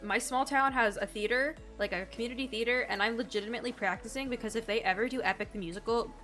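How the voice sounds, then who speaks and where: Young woman game streamer, stuffed-up and a bit nasal, breezy slangy chatter, pitched a touch high. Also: quick pace, fairly bright tone, faint music behind. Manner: chatty and slangy, swears casually. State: congested, a little nasal. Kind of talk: casual gameplay chat to stream viewers.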